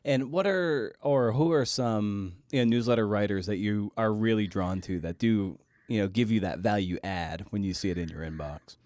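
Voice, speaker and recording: Male interviewer, slightly low pitch, halting and conversational delivery, dry recording with no room sound. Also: a little mellow, dry recording. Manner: halting, feels way through questions with repeated words. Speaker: podcast host, interviewer. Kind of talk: casual interview questioning.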